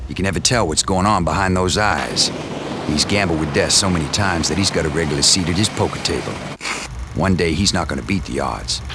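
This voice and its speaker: Dry commanding officer, deep and low, loud noisy audio. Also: male voice, a little fast. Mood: grave. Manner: grave, clipped briefing. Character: stern male commanding officer, dry and measured. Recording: loud noise under game audio.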